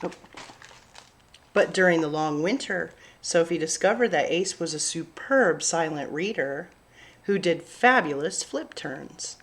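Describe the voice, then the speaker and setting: A woman reading a picture book aloud to children, narrating expressively with deliberate, dramatic phrasing. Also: fairly low pitch, expressive, a bit bright. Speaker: children's story-time reader.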